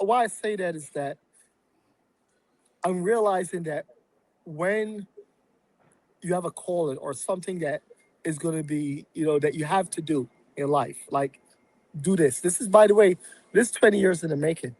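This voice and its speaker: Podcast guest, man from Barbados, reflective and halting, slightly high pitch, dry recording. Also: a little mellow, no room sound, poor audio. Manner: reflective, halting with many fillers. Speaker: real estate investor and guest. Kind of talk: casual podcast interview.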